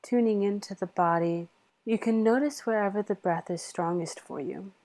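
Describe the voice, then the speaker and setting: A woman leading a guided meditation in a soothing, measured manner with long pauses. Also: medium pitch, a little mellow.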